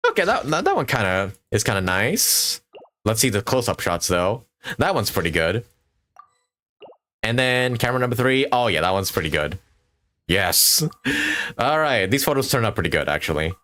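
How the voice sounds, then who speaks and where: Young guy streaming a game, casual jokey commentary to himself, pretty animated pitch. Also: normal speed, pauses often. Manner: jokey, talks to himself while playing. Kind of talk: casual live gameplay commentary.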